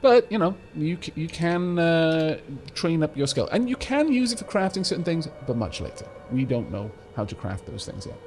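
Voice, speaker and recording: Lively, chatty gamer guy explaining. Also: moderate pace. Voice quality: slight rumble in the voice. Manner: chatty, explanatory, frequent restarts and fillers. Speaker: let's play host. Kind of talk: casual gameplay commentary.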